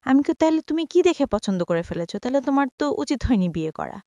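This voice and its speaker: A woman's voice: sits high, big pitch swings, fast talker, dark tone, dry recording. A woman with a Bangladeshi accent telling a personal life story in a conversational way, mixing in English words and voicing reported dialogue. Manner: acts out reported dialogue while narrating; mixes English words into speech. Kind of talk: personal storytelling on a radio show.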